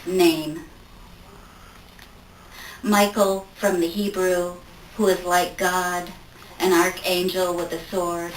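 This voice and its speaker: Slow, even-pitched woman reciting poetry. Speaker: poetry reader at a tribute event. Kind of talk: live poetry reading. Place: live event room, recorded from a distance. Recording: echoey room, distant mic, faint noise.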